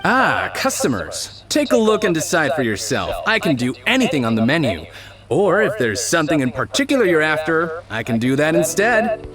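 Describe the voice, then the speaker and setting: An actor playing a proud, cheerful street-food cook in a game, brash and confident. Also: male voice, on the high side, very animated, normal speed. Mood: boastful. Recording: game audio with loud music behind.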